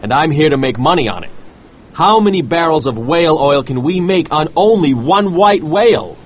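A man's voice: fairly high pitch, lively intonation, normal speed, voice pushed up. A man voicing a grim, cautious, practical ship's mate in an audiobook, speaking earnestly and in protest. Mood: defiant. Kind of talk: dramatized audiobook character voice.

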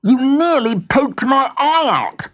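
A sulky male jack-in-the-box toy from a storybook, put on by a storyteller, pitched high and squeezed out slowly. He drags every word into a whine. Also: big pitch swings, full character voice. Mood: complaining. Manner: whining, drawn-out complaints.

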